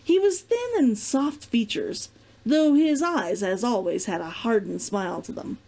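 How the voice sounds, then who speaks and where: A woman narrates a story in a high, lively voice with lots of melodic movement. The tone is soft-edged and mellow, and the reading is expressive, as for a read-along. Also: a little slow. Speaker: reader of a fiction read-along.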